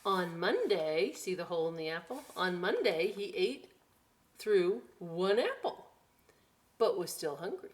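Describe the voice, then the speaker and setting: A woman's voice: fairly low pitch, sing-song, unhurried. A middle-aged woman reading picture books to young children at a library storytime, playful and conversational, with chatty asides. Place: inside a library.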